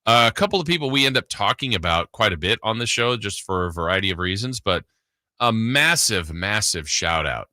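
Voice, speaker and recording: A man's voice: fairly low pitch, animated, a little fast, bright, forceful tone, dry recording, clean studio sound. A man hosting a sports radio show in casual, chatty and enthusiastic talk.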